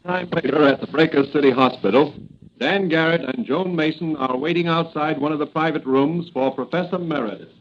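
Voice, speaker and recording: Dramatic old-time radio announcer, male, slightly high. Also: fairly quick, slight background noise. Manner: suspenseful, declamatory. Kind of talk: 1940s radio serial narration, dramatic. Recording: muffled old radio recording with music.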